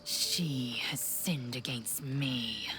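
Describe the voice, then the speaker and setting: A woman playing a proud, fierce alien warrior in a video game, solemn and formal, with a breathy, hushed edge. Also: very deep voice, extremely slow, noisy background, full character voice. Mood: solemn, bitter. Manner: solemn, clipped, formal declarations. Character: proud, fierce female alien githyanki warrior, shaken in faith. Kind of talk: dramatic video game acting.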